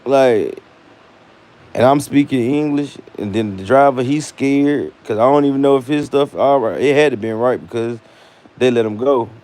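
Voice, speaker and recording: American male vlogger, casual storyteller, slangy and animated, mid-pitched voice. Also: normal speed. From United States. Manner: animated retelling with slang and acted-out dialogue.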